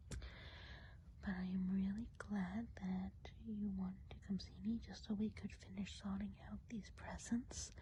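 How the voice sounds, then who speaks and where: A woman playing a kindly, whimsical woodland witch in an ASMR role play, whispering softly, breathily and gently. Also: mid-range voice, natural voice, low-quality recording. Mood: gentle, welcoming. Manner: slow, gentle, unhurried explaining. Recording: faint background noise.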